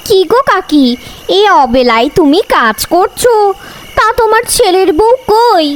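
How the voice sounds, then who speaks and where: Cartoon woman, played by a female actor: piercingly high, unhurried, scolding talk, noisy insect-filled track. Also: sing-song, exaggerated voice. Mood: chatty. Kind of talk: cartoon voice acting.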